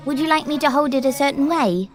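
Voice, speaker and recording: A mysterious young woman who teases gently and speaks with a lightly formal, knowing air. Her voice sits a little high. A soft musical score hums beneath her lines. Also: animated, fast talker, faint music behind. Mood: playful. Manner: teasing, sly, lightly formal. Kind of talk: audio drama acting.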